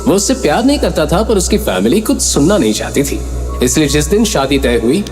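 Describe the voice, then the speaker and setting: Lively male story narrator over music. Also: a little high, a little fast. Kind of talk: dramatic scripted story narration. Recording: background music under the voice.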